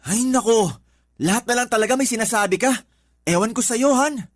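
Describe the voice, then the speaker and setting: A man's voice: very high voice, brisk. Man acting an earnest, exasperated live-in boyfriend. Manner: earnest, reasoning, reproachful. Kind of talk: Tagalog radio drama acting. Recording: music bed behind the voice.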